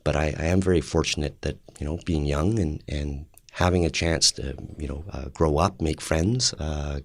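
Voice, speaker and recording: A man in his fifties whose first language was Cantonese, speaking as an interview guest in a thoughtful, halting way. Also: extremely low pitch, creaky, no room sound. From Canada. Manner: thoughtful, halting, with frequent fillers and restarts. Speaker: interview guest, public servant. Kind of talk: casual interview chat.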